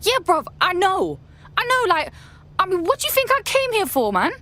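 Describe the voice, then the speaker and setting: A brash young London woman in a game's resistance. Her voice is high and very animated in pitch. She talks bluntly in street slang. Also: normal speed, full character voice. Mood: pleading. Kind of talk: scripted video game dialogue.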